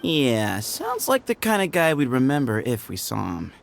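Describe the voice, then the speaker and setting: Smug desert gang boss, gravelly, bouncy sing-song teasing. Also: male voice, medium pitch, huge pitch swings, moderate pace, a little hiss or hum. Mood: breezy. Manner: playful, mocking, sing-song. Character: sly, teasing man who leads a hidden desert band. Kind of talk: video game cutscene voice acting.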